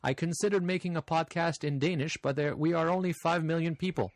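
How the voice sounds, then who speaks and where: A man's voice: fairly high pitch, no room sound. Brief: man from Canada, slight French accent, hosting a podcast in a dry, close recording. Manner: easygoing, friendly, with frequent "uh" pauses. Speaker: podcast host. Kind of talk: casual podcast chat reading listener mail.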